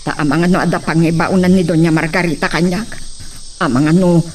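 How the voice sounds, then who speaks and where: A man's voice: on the high side, fairly even intonation, brisk, darkish tone, close to an everyday voice. A man acting a fearful, mistreated servant in a radio drama, hesitant and deferential with a whining manner. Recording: distant voice, faint background noise.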